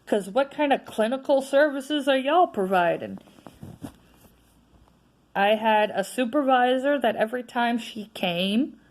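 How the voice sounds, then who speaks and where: A woman vlogger with a naturally loud voice, venting in a casual, frustrated rant that is full of tag questions. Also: on the high side, lively intonation, a little slow. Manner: frustrated, ranting, frequent "right?" tags.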